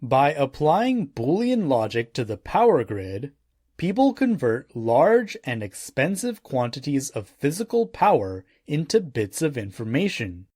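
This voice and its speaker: A man narrating a nonfiction audiobook in a measured, deliberate way, with emphatic phrasing. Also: medium pitch, a little mellow, breathy edge, no room sound, clean studio sound.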